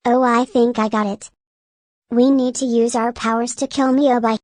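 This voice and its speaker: A woman's voice: on the high side, level tone, normal speed, darkish tone, dry recording, studio quality, lightly acted. A woman voicing an indignant, scheming cartoon catgirl leader with a blunt, profane manner. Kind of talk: amateur animated drama dialogue.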